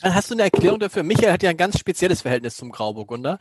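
With faint noise behind him, a man with a high voice fires off questions as host of a casual chat. He talks quickly and interjects eagerly. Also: big pitch swings. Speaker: podcast host asking questions.

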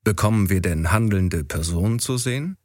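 A man narrates a feature as its host. His voice is fairly low. He reads scripted descriptive passages. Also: expressive, moderate pace, a little mellow, no room sound.

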